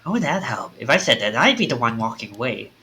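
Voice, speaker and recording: A man's voice: medium pitch, very animated, fast, bright, forceful tone, a little hiss or hum. A man voicing a desperate, stubborn man in a visual novel, speaking with urgent, impassioned conviction. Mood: urgent resolve. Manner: urgent, impassioned, self-questioning. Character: desperate, stubborn man caught in a deadly curse.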